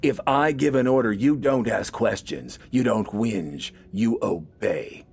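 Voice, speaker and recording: Man voicing a gruff, scornful army corporal. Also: medium pitch, measured pace, darkish tone, voice pushed up. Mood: stern, commanding. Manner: curt, barking orders, sarcastic.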